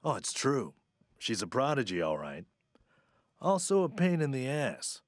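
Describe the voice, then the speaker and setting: An actor voicing a brash, irreverent deputy marshal in a video game, sardonic and teasing. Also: male voice, mid-range voice, wildly swooping pitch, measured pace, no echo, studio quality.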